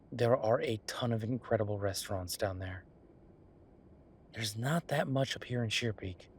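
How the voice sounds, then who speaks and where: Man acting an easygoing, husky chef. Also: lowish voice. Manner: conversational, musing, explanatory. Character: easygoing male chef planning restaurant business with his partner. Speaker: voice actor in audio roleplay. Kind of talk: one-sided roleplay acting, casual chat.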